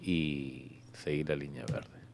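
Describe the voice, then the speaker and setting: A man with a Rioplatense Argentine accent playing God as a hesitant, bureaucratic afterlife clerk in radio comedy, rambling with searching pauses. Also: extremely low pitch, steady tone, gravelly, a little mellow, slight background noise, full character voice. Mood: officious, helpful. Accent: Rioplatense Argentine Spanish. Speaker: comedy actor in a radio sketch. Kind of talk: improvised radio comedy dialogue.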